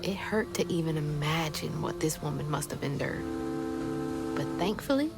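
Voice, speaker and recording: A woman's voice: low voice, lively intonation, normal speed. A young woman playing a determined, sassy video game heroine, with a Southern US accent. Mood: wistful narration. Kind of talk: video game acting, dialogue and narration. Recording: loud music behind.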